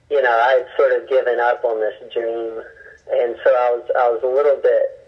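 A man with a husky, breathy voice in a middle register speaks as a missionary guest, recounting his experiences earnestly and often restarting his sentences. The sound is dull and lo-fi. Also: medium pitch. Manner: earnest, halting with many restarts. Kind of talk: personal storytelling in an interview.

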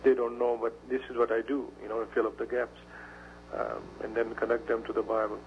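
A man, a guest in a radio interview, talking in halting phrases with many fillers and restarts. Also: mid-range voice, completely flat delivery. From Pashtun area of Pakistan. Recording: dull radio broadcast with faint hum.

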